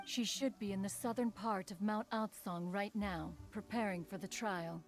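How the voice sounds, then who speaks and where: A woman's voice: medium pitch, fairly even intonation, normal speed, natural voice. Ancient female immortal, game role for an actress. Dignified, motherly, grandly formal, mid-range voice, faint music underneath. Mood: composed. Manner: stately, archaic formal speech, calls herself "one". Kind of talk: video game voice acting. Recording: soft game music behind the voice.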